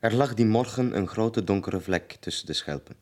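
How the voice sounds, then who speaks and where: A man's voice: on the low side, normal speed, a little mellow, close to an everyday voice. An actor playing a grave village man narrating as part of a chorus in a Flemish radio drama.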